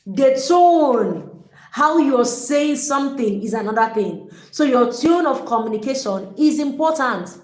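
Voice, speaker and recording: A woman giving an energetic, emphatic motivational talk as a relationship coach, often repeating her points. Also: high-pitched, measured pace. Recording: echoey room.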